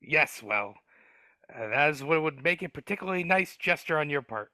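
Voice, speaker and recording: A man's voice: on the high side, animated, normal speed, bright, forceful tone, no echo. A man voicing a sly, grumbling male dwarf in a live video-call table read, hesitant and wheedling, stammering often. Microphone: video call mic.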